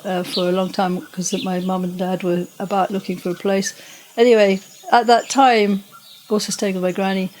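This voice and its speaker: A woman's voice: medium pitch, slight background noise. Older woman telling her story in an interview. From Tanzania. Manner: rambling, warm recollection with frequent restarts and soft laughs. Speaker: podcast guest. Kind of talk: relaxed conversational storytelling.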